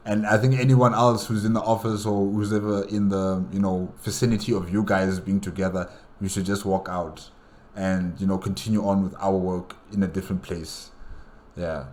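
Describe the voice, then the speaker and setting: A man's voice: low-pitched, flat delivery, moderate pace, darkish tone. A man hosting a reaction video, thinking aloud in casual solo commentary.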